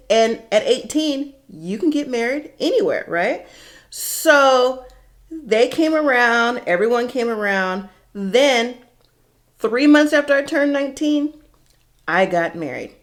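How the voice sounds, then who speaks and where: High-voiced American woman telling personal stories. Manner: animated, emphatic, acts out reported dialogue with rhetorical questions. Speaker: vlog host. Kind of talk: casual personal storytelling to viewers.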